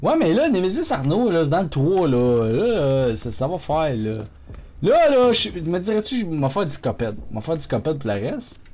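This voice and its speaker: A man with a Quebec French accent, an excitable streamer swearing often and talking to his chat during live gameplay commentary. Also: fairly high pitch, normal speed.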